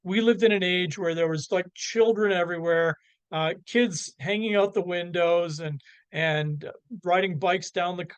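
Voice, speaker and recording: A Canadian man walks through charts in a presentation, pausing often on fillers and restarting his sentences. His voice sits a little high for a man. The sound is dry, with no room echo. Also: clean tone. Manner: halting, many fillers and restarts. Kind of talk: slide presentation on economics.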